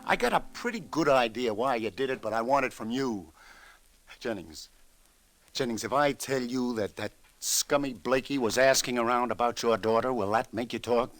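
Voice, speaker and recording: An actor with an American accent playing a world-weary, sympathetic New York police lieutenant in old-time radio detective style. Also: male voice, mid-range voice, moderate pace, a bit bright, lightly acted. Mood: frank, reassuring.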